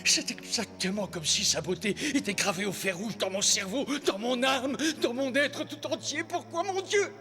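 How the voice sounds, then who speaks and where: An actor playing an obsessed, pious and cruel archdeacon in a theatrical cartoon dub, speaking in a sententious, fervent, dramatic way. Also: male voice, very high-pitched, normal speed, hardly any pauses. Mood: tormented, fervent. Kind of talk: theatrical animated film dub. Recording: long hall reverb, music underneath.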